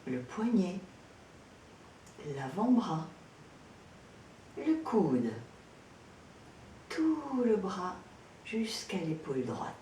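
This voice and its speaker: Slow, low-voiced woman guiding relaxation. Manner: calm, gentle, soothing, with frequent pauses. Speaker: yoga nidra instructor. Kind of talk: guided relaxation meditation, spoken instructions. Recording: roomy echo, distant mic, background noise, rumble.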